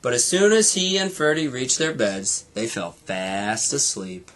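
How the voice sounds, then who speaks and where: A man's voice: mid-range voice, big pitch swings, unhurried, fairly bright tone. A man narrating a children's storybook expressively, like a teacher reading to his students.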